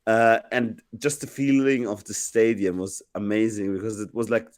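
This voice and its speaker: A man who is sick and sounds deeper than usual, telling a rambling story on a casual podcast with many restarts. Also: steady tone, normal speed, a little mellow, no room sound, clean studio sound. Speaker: podcast co-host.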